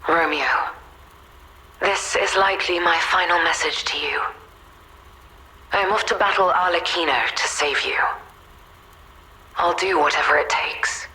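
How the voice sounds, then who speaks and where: Slow, sharp-edged, solemn woman warrior. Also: lowish voice, poor audio. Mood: tender resolve. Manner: solemn, tender. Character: weary, self-sacrificing woman warrior leaving a farewell message. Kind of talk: dramatic video game monologue.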